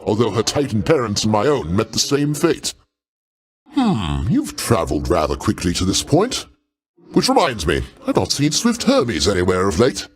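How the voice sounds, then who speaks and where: A man's voice: on the low side, wildly swooping pitch, moderate pace, husky, heavily acted. A male actor playing a pompous, jovial older king of the gods in a video game, grandiose and avuncular with genteel, old-fashioned phrasing. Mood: teasing, dismissive. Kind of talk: theatrical video game character acting.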